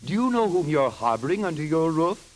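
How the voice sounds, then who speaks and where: An actor playing a smug meddling visitor in a vintage radio comedy, oily and insinuating. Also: male voice, on the high side, sing-song, brisk. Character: smug, insinuating busybody neighbour man. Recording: hissy old radio recording.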